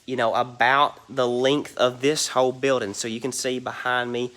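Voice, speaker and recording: A man's voice: mid-range voice, little pitch movement, normal speed, fairly bright tone. A man giving a casual walk-through of a large warehouse, rambling with lots of ums and a stuffy, nasal voice from allergies. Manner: rambling, many ums and "you guys". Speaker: reseller giving a warehouse tour.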